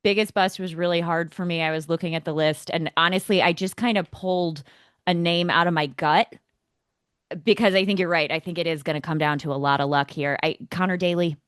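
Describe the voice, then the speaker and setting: A man's voice: a little high, steady tone, a little fast, a bit bright, clean tone, no echo, studio quality. An American man co-hosting a motorsport podcast, giving opinions in a casual, emphatic, conversational way.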